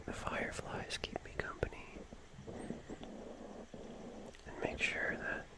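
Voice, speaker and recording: An ASMR host speaking in a breathy whisper, telling an atmospheric roleplay story in a soothing manner and softly repeating words. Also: slow pace.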